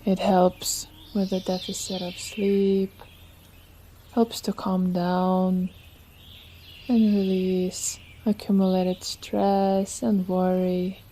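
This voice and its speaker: A woman leading a guided relaxation meditation as a yoga nidra teacher, with soothing, unhurried, gentle instruction. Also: mid-range voice, flat delivery, a little hiss or hum. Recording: nature ambience with birdsong behind. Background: birdsong and nature sounds.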